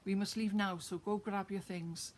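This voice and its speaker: A woman's voice: medium pitch, steady tone, moderate pace, a little mellow, natural voice. A Welsh woman voicing a homesick Welshman who has had enough and urges his mates to come home. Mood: rousing.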